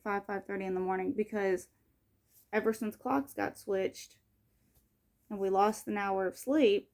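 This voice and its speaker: A woman's voice: medium pitch, darkish tone. A woman in her twenties talking casually in a personal vlog, rambling with lots of "like" and "um" and correcting herself as she goes. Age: 20s. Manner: rambling, heavy use of "like" and "um", frequent self-corrections. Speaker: vlogger.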